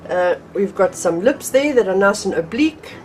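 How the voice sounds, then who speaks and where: A woman's voice: mid-range voice, expressive, moderate pace, darkish tone, slight background noise. A middle-aged woman teaching drawing from her home art room, chatty and a little nasal from a cold, with frequent ums and restarts. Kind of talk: casual art tutorial.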